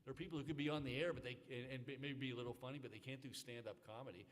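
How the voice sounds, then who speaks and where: A man's voice: mid-range voice, steady tone, very fast. A man with a mid-range voice speaks thoughtfully on a panel. His words land with a reflective tone. A long hall reverb trails his voice. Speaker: panelist, author. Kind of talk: panel discussion. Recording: hall reverb from a lecture room.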